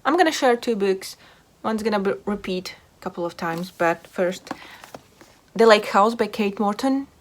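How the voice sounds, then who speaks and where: A woman's voice: mid-range voice, animated, normal speed, slight background noise. A woman hosting a casual book review video, talking informally and repeating words for emphasis. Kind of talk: casual book chat to camera.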